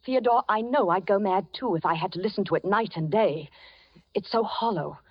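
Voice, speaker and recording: A woman's voice: medium pitch, moderate pace, husky, lightly acted. Actress playing a frightened, pleading young woman. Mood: uneasy. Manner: tremulous, pleading, urgent. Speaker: radio drama actress. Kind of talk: 1940s radio acting. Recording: dull, noisy old radio recording.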